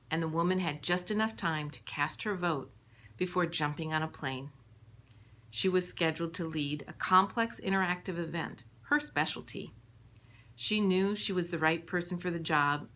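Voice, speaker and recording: Woman narrating an audiobook, slightly low voice. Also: a bit bright, slight background noise. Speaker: author reading her own book.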